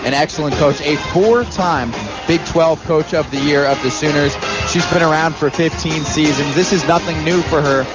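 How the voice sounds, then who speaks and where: An American man provides color analysis during a live radio basketball broadcast. His voice is a little high, and he speaks in an emphatic, analytical way. The arena broadcast has clear sound behind him. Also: moderate pace, loud music behind the voice, low-quality recording. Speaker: color commentator.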